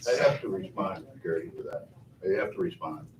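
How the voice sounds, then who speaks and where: A man's voice: low-pitched, lively intonation, brisk, a loud crowd. A county commissioner, a man, pushing back insistently during a board meeting debate. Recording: echoey hall, far from the mic, faint noise.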